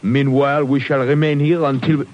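A man's voice: medium pitch, little pitch movement, fairly quick, darkish tone, a little hiss or hum, lightly acted. Officious train conductor played by a man. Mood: officious, firm. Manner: formal, officious. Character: officious, courteous older French train conductor, male. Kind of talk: 1950s radio drama acting. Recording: muffled 1950s radio recording.

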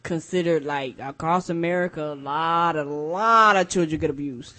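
Male co-host, very high voice; short casual reactions, backs up the host. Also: normal speed, sharp tone. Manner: short reactive interjections and agreement. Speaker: podcast co-host. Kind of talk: casual chat.

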